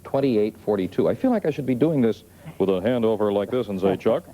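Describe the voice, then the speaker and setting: A man's voice: fairly low pitch, expressive, a little fast, mellow. A man co-hosting a daytime television show with casual on-air chat, recorded on an old tape. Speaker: daytime TV co-host. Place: television studio. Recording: old TV tape, faint noise.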